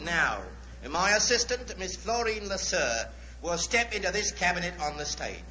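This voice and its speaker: A man's voice: high voice, animated, moderate pace, bright, forceful tone, full character voice. An actor playing a pompous showman magician with florid, ceremonious, hesitating patter, in old radio drama style. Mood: showy, ceremonious. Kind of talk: 1940s radio acting. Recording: noisy, dull old radio recording.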